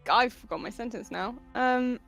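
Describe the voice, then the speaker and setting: A woman playing a tabletop game, chatting halfway in character with a vague put-on European accent, halting and giggly. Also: fairly high pitch, gravelly, fairly bright tone, faint music behind. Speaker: player. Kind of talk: tabletop roleplay, half in character.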